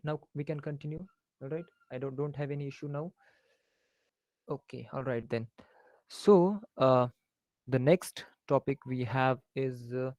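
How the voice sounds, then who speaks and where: An Indian man teaching maths over an online call, speaking through faulty headphones in a dry, close sound with no room around it. He hesitates and says "uh" often, and drifts between English and Hindi as he explains. His pitch sits in an ordinary middle range. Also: darkish tone, dry recording. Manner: hesitant, many fillers, switching into Hindi mid-sentence. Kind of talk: online class lecture. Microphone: faulty headphones on an online call, voice low.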